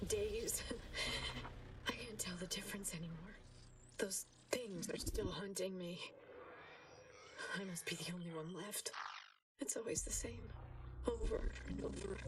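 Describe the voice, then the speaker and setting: An actress playing an exhausted, haunted woman scientist confiding in a halting, intimate audio diary for a video game. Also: medium pitch, far from the mic, background noise, close to an everyday voice. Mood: haunted, desperate.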